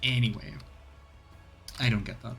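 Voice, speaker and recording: A man's voice: mid-range voice, steady tone, normal speed, soft background music. A man giving casual live game commentary, musing and grumbling as he goes. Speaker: let's play streamer. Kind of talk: casual live game commentary, sometimes reading game text aloud.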